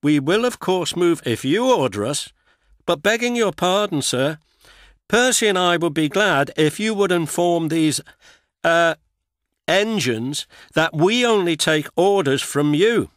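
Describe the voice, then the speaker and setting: A man puts on a proud, steady male engine from a storybook, formal and courteous, saying sir at every turn. The voice sits raised and lively, with a dry close sound. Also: on the high side, unhurried, no echo, pitched above the performer's own voice. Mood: firm. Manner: courteous, formal, calmly firm. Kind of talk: storybook character voice.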